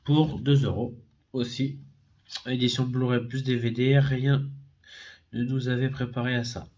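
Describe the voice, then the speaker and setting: A man's voice: mid-range voice, flat delivery, a little slow, a little mellow, lo-fi sound. A man with a head cold, talking casually and hesitantly, with many fillers and self-corrections, as he shows secondhand discs from his collection. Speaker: DVD and Blu-ray collector. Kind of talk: casual unboxing video.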